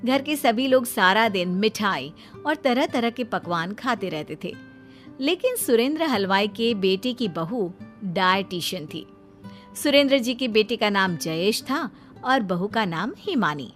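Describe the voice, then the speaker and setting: A woman's voice: medium pitch, lively intonation, measured pace, fairly bright tone. A woman tells a story as a scripted narrator. Faint guitar-like music plays behind her voice.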